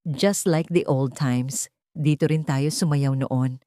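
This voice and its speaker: A woman's voice: very deep voice, fairly even intonation, takes it slowly, warm tone, dry recording, clean studio sound. A woman voicing an earnest, tender young male suitor pleading his love in a romance audio story.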